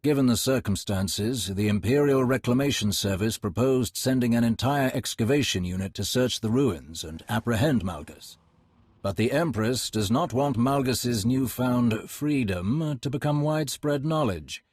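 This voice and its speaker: An actor playing a suave, courteous, scheming imperial agent in a video game, speaking in a smooth-mannered, formal, theatrical way. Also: male voice, medium pitch, expressive, normal speed, a little mellow, no echo. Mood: measured briefing.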